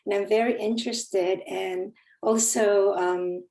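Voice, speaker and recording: A woman's voice: mid-range voice, steady tone, slow, gravelly, dark tone. Woman, facilitator type; earnest, self-correcting, echoey room on a call. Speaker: facilitator and well-being consultant. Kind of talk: personal introduction in an online circle. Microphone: video call.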